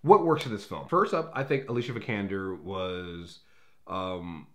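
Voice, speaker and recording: A man's voice: sits low, unhurried, a bit bright. A man hosting a movie review in a casual, unscripted way, rambling and opinionated, often backtracking as he talks.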